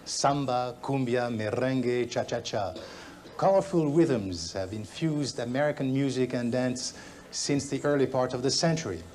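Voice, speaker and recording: A man reading scripted documentary narration in a smooth, informative manner. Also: medium pitch, moderate pace, a little mellow.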